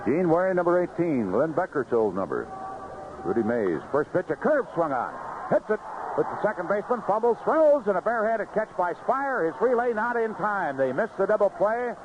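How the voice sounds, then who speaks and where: A man's voice: sits high, sing-song, normal speed. A man calling live baseball play-by-play in clipped, conversational phrases on a vintage radio broadcast. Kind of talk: live play-by-play sports commentary. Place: outdoor ballpark broadcast booth. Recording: noisy muffled vintage 1970s radio broadcast.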